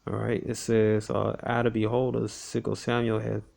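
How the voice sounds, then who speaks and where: A man teaching for a religious group, reading an article aloud haltingly and breaking in often with his own comments. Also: fairly low pitch, monotone, creaky, darkish tone. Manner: halting reading, constant "all right" interjections.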